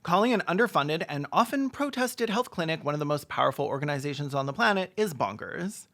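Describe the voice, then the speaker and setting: A video essay host doing sarcastic comedic commentary with mock-serious, deadpan jokes. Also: medium pitch, brisk.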